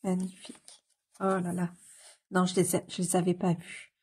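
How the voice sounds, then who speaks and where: A woman with a cold gives casual, gushing commentary as she leafs through a book, often pausing on fillers and repeating her delight. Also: fairly low pitch, completely flat delivery, a little mellow, breathy edge. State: has a cold. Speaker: coloring book YouTuber.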